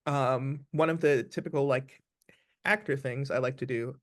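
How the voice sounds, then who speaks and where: A man speaking as an actor being interviewed, explaining things casually in a thoughtful, deliberate way. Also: mid-range voice, steady tone.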